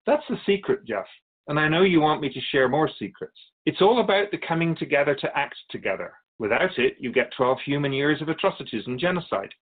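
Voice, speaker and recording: Guy doing a wise wry soul keeper for radio drama, really expressive pitch, muffled lo-fi sound. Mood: grave, earnest. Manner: measured, philosophical, gently challenging. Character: Keeper of Souls, wise otherworldly storyteller, courteous and wry. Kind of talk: radio drama dialogue, storytelling.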